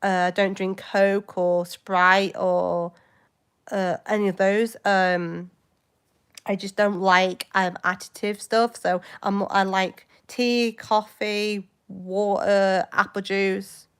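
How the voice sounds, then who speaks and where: A woman's voice: mid-range voice, little pitch movement, takes it slowly, clear, resonant tone. A woman, chatting casually and rambling to viewers on a livestream, full of hesitations and jumps between topics. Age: 20s. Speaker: livestream host. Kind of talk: casual live chat with viewers.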